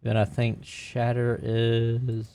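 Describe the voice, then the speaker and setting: A man's voice: medium pitch, completely flat delivery, takes it slowly, warm tone, slight background noise. A man playing a tabletop roleplaying game, chatting casually and thinking aloud.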